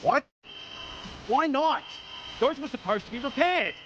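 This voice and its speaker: A man's voice: very high-pitched, animated, a little slow, fairly bright tone, lo-fi sound, exaggerated voice. A man voicing a brash, sarcastic starship captain in scripted game dialogue, crude and exasperated. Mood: agitated, urgent. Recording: background music.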